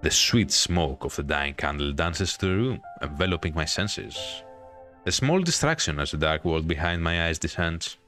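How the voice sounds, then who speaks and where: A man with a very low voice narrates a visual novel for a gaming video. His delivery is drawn-out and dramatic, with chatty asides. Soft game music plays behind him. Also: lively intonation. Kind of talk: reading a visual novel aloud with casual commentary.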